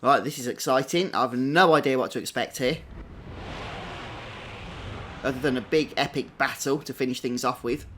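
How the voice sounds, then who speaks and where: A man's voice: medium pitch, normal speed, pauses often, a bit bright, slight background noise. A man giving chatty live commentary on a video game, thinking aloud and often tagging questions onto his remarks. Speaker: game streamer. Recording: game music behind the voice. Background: video game music.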